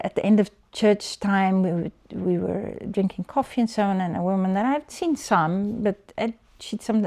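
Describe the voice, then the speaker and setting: A woman giving a personal faith testimony in a relaxed, conversational way, full of small fillers and restarts. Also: medium pitch, gravelly. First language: not Dutch. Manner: conversational, many fillers and restarts, laughs often. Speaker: church member giving testimony.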